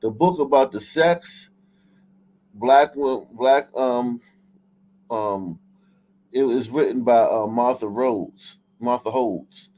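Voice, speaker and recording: A man's voice: medium pitch, slow pace, long pauses, dark tone, poor audio. A man hosting a livestream in casual, profane chat, often repeating and restarting his phrases. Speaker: livestream host. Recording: clear background noise.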